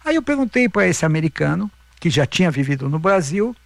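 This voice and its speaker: A man's voice: a little high, very animated, fairly quick, gravelly, dry recording. A middle-aged man with a Brazilian accent telling anecdotes in a casual interview, emphatic and repeating himself. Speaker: interview guest, veteran marathon runner.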